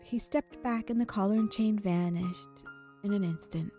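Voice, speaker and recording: Mellow-voiced woman reading a story aloud. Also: mid-range voice, moderate pace, faint music behind. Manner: occasionally stumbles over words and rereads. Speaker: streamer reading a visual novel aloud. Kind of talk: read-aloud story narration.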